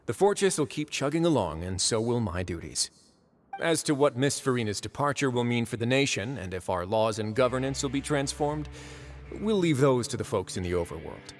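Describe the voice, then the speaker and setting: An actor voices a man in command of a fortress in an anime game. He is dry, courteous and unhurried in authority, at a middling pitch. Soft music sits behind him. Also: very animated, close to an everyday voice. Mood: dry amusement. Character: composed, wry man in charge of a fortress. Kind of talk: anime-style video game voice acting.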